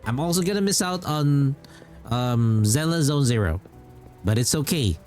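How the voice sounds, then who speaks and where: A man streams casually about games with music playing behind him. His talk rambles along in an informal way, and he often repeats a phrase to drive it home. His voice sits at an ordinary mid-range pitch for a man. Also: expressive, measured pace, a little mellow. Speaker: game streamer.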